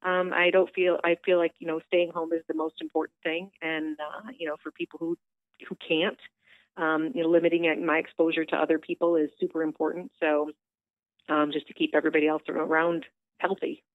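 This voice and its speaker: Woman, low-pitched for a woman, chatty, upbeat, lots of fillers, phone-line sound, dry. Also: level tone, brisk, no room sound. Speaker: theater director calling in. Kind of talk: casual phone interview. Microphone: telephone.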